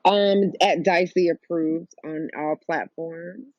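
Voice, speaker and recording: A woman's voice: lowish voice, steady tone, slow pace, darkish tone, dry recording. A woman chatting casually as a podcast guest over a dull, lo-fi connection.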